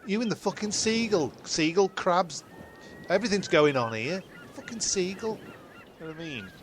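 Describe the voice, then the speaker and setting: A man playing a chatty, sweary castaway who talks haltingly and repeats himself in an amateur comedy drama. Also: sits high, wildly swooping pitch, a little hiss or hum. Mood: exasperated. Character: sweary, chatty castaway bloke named John.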